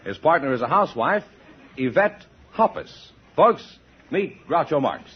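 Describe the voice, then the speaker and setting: A man announcing on a quiz show, reading scores and introductions in a crisp, upbeat way. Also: fairly high pitch, very animated, moderate pace. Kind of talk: formal announcing. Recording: muffled 1950s broadcast with hiss.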